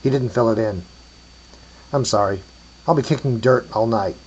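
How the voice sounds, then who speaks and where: A man's voice: mid-range voice, normal speed, darkish tone, lo-fi sound, slight background noise, close to an everyday voice. A man voicing a tired, dutiful old guard dog in a storybook reading, plain-spoken and resigned.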